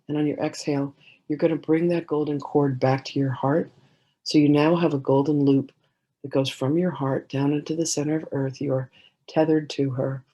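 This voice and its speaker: A woman leading a guided meditation in a gentle, soothing way, giving slow instructions with many pauses. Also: sits low, slightly rough, dull audio.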